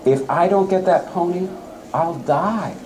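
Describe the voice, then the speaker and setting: A man's voice: sits high, unhurried, a little mellow, voice pushed up, rough recording. A man voicing a whiny, nagging little girl from a storybook poem, begging emphatically. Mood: desperate. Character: pleading, nagging little girl who wants a pony. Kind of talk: storybook poem read aloud. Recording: live event with faint room noise.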